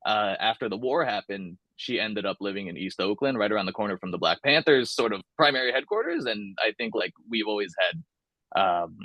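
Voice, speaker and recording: A man's voice: lowish voice, expressive, a little fast, no room sound, dull audio. A young man from California, talking casually and thoughtfully in an oral history interview, with many fillers and restarts.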